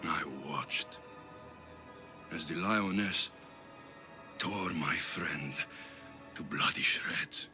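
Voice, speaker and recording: A man's voice: low-pitched, extremely slow, long pauses, exaggerated voice. An actor playing a bitter, volatile young warrior convinced of his destiny in a dramatic video game cutscene, scornful and intense. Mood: dark, menacing. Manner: scornful, intense, swinging from shouts to menacing whispers. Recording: loud music behind the voice. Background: dramatic music.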